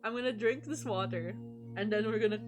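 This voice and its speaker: A woman's voice: mid-range voice, fairly quick. Giddy woman streamer with music behind her. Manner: giddy, exclamatory, dramatic. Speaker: livestreamer. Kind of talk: reading a visual novel aloud with excited live reactions. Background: game background music.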